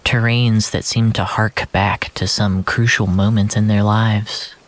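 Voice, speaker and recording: A narrator reading scripted first-person fiction in a measured, literary storytelling manner. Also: mid-range voice, flat delivery. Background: music.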